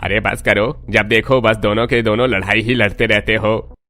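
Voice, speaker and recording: Man acting bright low-voiced genial father-in-law. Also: steady tone, normal speed. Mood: chiding. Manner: genial, paternal. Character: genial middle-aged father-in-law, mildly chiding and encouraging. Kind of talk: Hindi cartoon story character acting.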